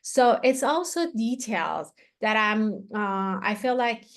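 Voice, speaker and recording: A woman's voice: on the high side, expressive, takes it slowly. Woman, forties, chatty, enthusiastic, lots of fillers and restarts. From Iran. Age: 40s. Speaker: guest, activewear founder. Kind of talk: casual interview talk.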